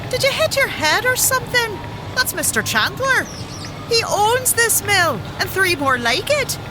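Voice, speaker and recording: High-pitched, scolding mill woman. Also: very animated, background noise, full character voice. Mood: brusque, incredulous. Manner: brisk, scolding, old-fashioned working-class phrasing. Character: bossy, scolding female mill worker, brisk and impatient. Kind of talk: audio drama acting.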